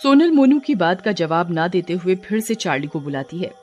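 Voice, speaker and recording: A woman's voice: fairly low pitch, very animated, moderate pace, darkish tone. Female storyteller with faint music behind. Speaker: story narrator. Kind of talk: scripted narration of a bedtime story.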